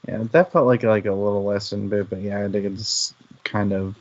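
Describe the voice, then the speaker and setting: Low-voiced man, slightly muffled, chatting casually. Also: fairly even intonation, moderate pace, a little mellow. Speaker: book discussion co-host. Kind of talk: casual book discussion chat. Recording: muffled, band-limited online audio.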